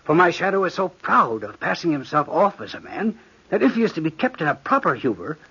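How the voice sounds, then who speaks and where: A living shadow passing as a prince in a vintage radio horror play. His husky voice is suave and courtly with a cunning, sinister edge. The recording is old and dull. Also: on the high side, sing-song, fairly quick, bright, forceful tone, full character voice. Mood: courtly charm. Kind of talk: old-time radio drama acting.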